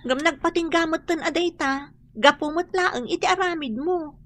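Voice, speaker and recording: A woman's voice: very high-pitched, normal speed, fairly bright tone. An actress playing a blunt woman confidante in an Ilocano radio drama, chiding and emphatic as she gives advice. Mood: admonishing.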